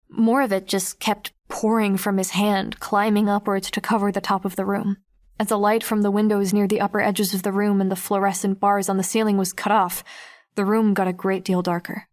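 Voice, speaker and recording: A woman playing a guarded, observant teenage girl who narrates an audiobook in the first person, reflective and measured. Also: medium pitch, natural voice. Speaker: narrator and lead character.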